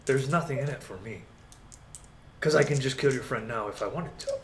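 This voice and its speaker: A man playing a menacing, condescending dark lord in a tabletop game, speaking deliberately and tauntingly. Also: medium pitch, natural voice. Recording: faint background noise.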